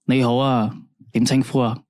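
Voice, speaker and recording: A man's voice: medium pitch, little pitch movement, normal speed, a little mellow, no echo, clean studio sound, natural voice. A man playing a chatty, easygoing late-night radio host in a radio drama, speaking Hong Kong Cantonese in a playful, conversational way. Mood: friendly, curious.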